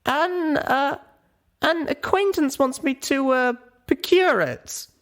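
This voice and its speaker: A man's voice: very high-pitched, takes it slowly, fairly bright tone, pitched above the performer's own voice, heavily acted. A man voicing a young female pony heroine in a story, earnest, bashful and hesitant. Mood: hesitant, awkward.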